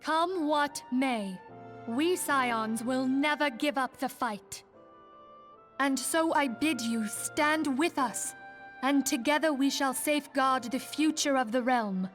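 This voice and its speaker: A woman's voice: sits high, slow, fairly bright tone, faint music behind. A woman playing an earnest, idealistic leader of a secret order in a fantasy video game, formal and persuasive.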